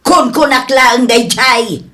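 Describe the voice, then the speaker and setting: Radio drama: woman plays tight-fisted female employer, high voice, bossy, dismissive delivery. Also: slow pace, fairly bright tone. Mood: impatient, bossy. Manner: curt, bossy. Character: stingy, scolding female shop boss who lies. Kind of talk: Ilocano radio comedy drama acting.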